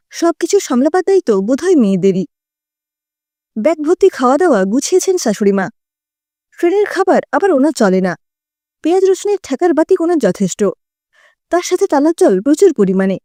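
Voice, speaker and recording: A woman's voice: high-pitched, dark tone, no echo, clean studio sound. A woman reading a scripted story expressively as a storyteller.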